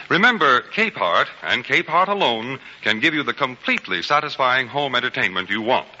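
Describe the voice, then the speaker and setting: A man's voice: medium pitch, sharp tone. An American man working as a radio announcer, reading scripted sponsor copy in a formal, emphatic 1940s style. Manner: emphatic, formal, polished phrasing. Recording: muffled 1940s radio broadcast, hall echo.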